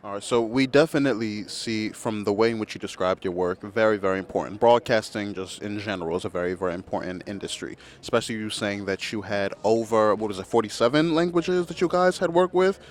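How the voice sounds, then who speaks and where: A man's voice: fairly low pitch, brisk, few pauses. A man interviewing a guest, asking casual questions in a friendly, affirming way with many okays.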